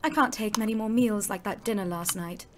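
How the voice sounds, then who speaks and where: A woman's voice: mid-range voice, brisk, slight background noise, close to an everyday voice. A woman playing a prim, squeamish young British lady driving ambulances in an audio drama, given to complaint. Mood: disgusted.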